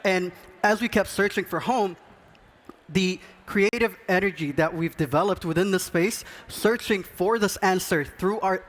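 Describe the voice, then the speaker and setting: A man with a fairly high voice gives an earnest, encouraging talk from an event stage. He co-hosts a podcast and paces his points with care. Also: unhurried. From Sudan. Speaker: podcast co-host speaking on stage.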